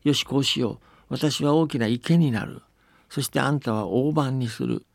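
A man's voice: mid-range voice, normal speed, vocal fry, no echo, clean studio sound, lightly acted. A man voicing a resourceful young runaway witch's daughter, giving urgent instructions in a storybook tale. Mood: urgent, resolute.

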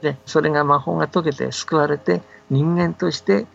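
A man's voice: on the high side, steady tone, moderate pace, gravelly, a bit bright, soft background music. An older man who gives casual, patient explanations as a folktale scholar, often checking that the listener agrees. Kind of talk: casual explanatory radio talk about folktales. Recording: dull band-limited audio, faint background noise.

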